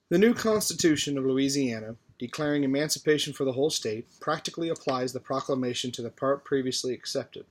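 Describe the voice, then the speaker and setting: A man reading a formal historical political speech aloud in a measured, deliberate way. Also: medium pitch. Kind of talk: formal oratory read aloud from a text.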